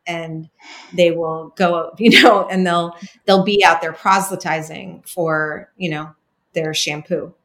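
Woman host chatting loosely, lots of you-knows, kinda low voice. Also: steady tone, slow, fairly bright tone. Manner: chatty, rambling, many fillers. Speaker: podcast host. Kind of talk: casual interview chat.

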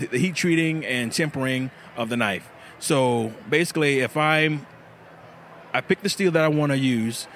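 A man's voice: medium pitch, bright, forceful tone, a little hiss or hum. Earnest knife maker explaining his craft. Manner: earnest, explaining step by step with fillers. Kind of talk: casual interview at a festival. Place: outdoor festival table.

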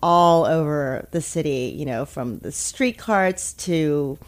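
A woman born in Taiwan and raised in America, talking casually as an interview guest, thoughtful with many pauses and restarts. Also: low-pitched. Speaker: interview guest, food media founder.

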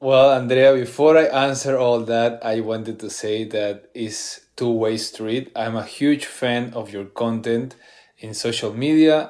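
Peruvian-accented chef guest, low-pitched male voice, eager and chatty. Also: a little mellow. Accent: Peruvian Spanish. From Peru. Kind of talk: casual podcast interview.

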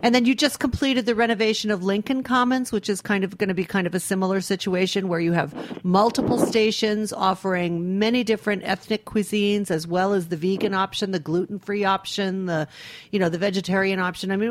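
A woman's voice: medium pitch, no room sound. A middle-aged woman hosting a conversational interview, speaking in a chatty, effusive way. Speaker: interviewer, show host.

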